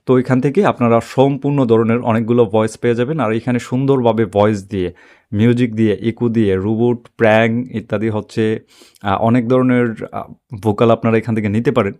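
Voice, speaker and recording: A man giving an unscripted, step-by-step app tutorial in a halting, repetitive way. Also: mid-range voice, fairly even intonation, a little mellow. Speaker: tech tutorial host.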